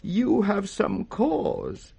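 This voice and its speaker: An actor with a British accent playing a frail, confused old king in a 1960s radio Shakespeare, his voice cracked, halting and theatrical. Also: high voice, huge pitch swings, dark tone, full character voice. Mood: tender, confused. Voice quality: raspy, cracked.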